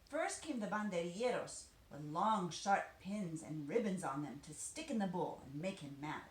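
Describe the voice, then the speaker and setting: A woman reading a children's picture book aloud, animated and deliberate, with dramatic emphasis. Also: fairly low pitch. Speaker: storybook reader, teacher. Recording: roomy echo, faint background noise.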